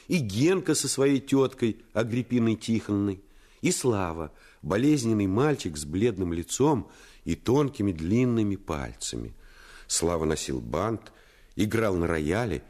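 A man narrating a radio play as a grown man calmly recalling his boyhood in scripted storytelling. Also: mid-range voice.

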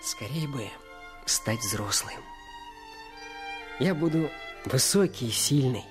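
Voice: mid-range voice, animated, slow, over music. A performer playing a curious, dreamy boy who longs to be a soldier, wondering and wistful, in a Russian radio play.

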